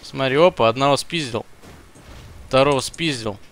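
A young man streaming a game and bantering with friends, swearing freely and jumping in pitch when he yells. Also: moderate pace, a little hiss or hum. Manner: excitable, pitch jumps when yelling. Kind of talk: casual gaming chat with friends.

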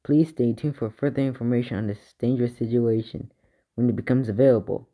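Male amateur alert announcer, medium pitch, formal bulletin wording with a stumbling, self-correcting delivery. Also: brisk, dark tone. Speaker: narrator of fictional emergency alerts. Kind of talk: improvised mock emergency broadcast announcement.

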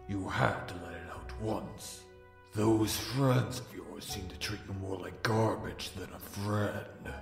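Evil shadow hedgehog villain, low creaky voice, taunting and drawn out, echoey. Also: male voice, exaggerated voice. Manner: slow, menacing, taunting, theatrical delivery. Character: sinister, gloating dark shadow hedgehog villain, male. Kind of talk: scripted fan comic dub. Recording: echoey voice over background music.

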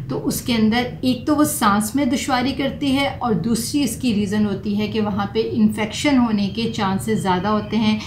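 A middle-aged woman, a doctor explaining home remedies to camera and mixing in English terms. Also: on the high side. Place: small furnished room indoors. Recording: roomy echo, faint background noise.

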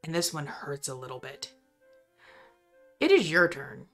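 Slow-spoken woman narrating a roleplaying game. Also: low-pitched, big pitch swings, faint music behind. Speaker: game master.

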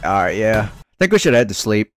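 Casual male gamer, lowish voice, animated intonation, slangy improvised skit banter. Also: fairly quick, soft background music. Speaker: host of a gaming horror skit video. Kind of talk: casual improvised skit chat in a social VR game.

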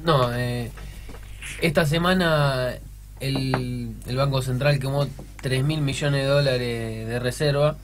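A man hosting a radio interview, asking halting questions. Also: mid-range voice, steady tone, background noise. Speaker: radio host. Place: radio studio.

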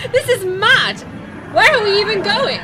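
A woman's voice: very high voice, exaggerated voice. A woman playing a bold, sceptical young companion with a cheeky, direct manner in a science fiction audio drama.

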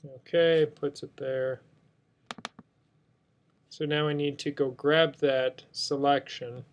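Man, slightly high voice, software instructor, step-by-step explanations, unscripted tutorial narration. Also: fairly even intonation, takes it slowly. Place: indoors, screen recording voice-over.

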